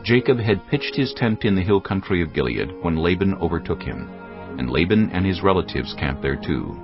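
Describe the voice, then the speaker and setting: A man's voice: deep voice, expressive, normal speed. A man narrating a scripted dramatized audiobook, with music behind and a slightly dull sound. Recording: dull studio recording with music.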